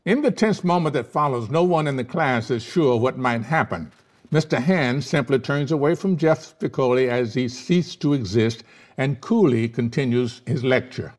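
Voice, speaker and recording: A man's voice: mid-range voice, lively intonation, moderate pace, a little mellow. A man reading scene headings and stage directions as narrator in a scripted table read.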